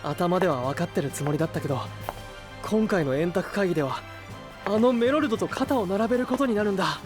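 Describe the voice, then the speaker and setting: An idealistic young male knight in an anime-style audio drama. His voice moves widely in pitch, always sincere and earnest. Music is clearly audible underneath. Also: animated, fairly quick. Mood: thoughtful awe. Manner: sincere, swinging from subdued to eager. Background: prominent background music.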